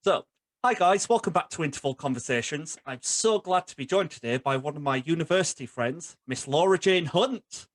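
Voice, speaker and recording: A man's voice: fairly high pitch, animated, normal speed, dry recording, studio quality. A young man hosting a podcast, chatting casually and bantering informally with his guest. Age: young adult. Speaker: podcast host. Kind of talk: casual interview chat.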